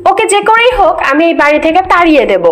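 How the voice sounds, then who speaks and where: Cartoon mother-in-law, played by a woman: extremely high voice, hot-tempered, scolding, scheming, faint music underneath. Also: very animated, fast talker. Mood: spiteful. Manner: scolding, indignant questioning. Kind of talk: Bengali cartoon voice acting.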